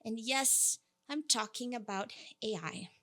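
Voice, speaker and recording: A woman with a slightly high voice, speaking English as a second language after Indonesian. She gives a keynote in an earnest, emphatic way, slipping in playful asides to the audience. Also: a little slow, sharp tone. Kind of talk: conference keynote talk.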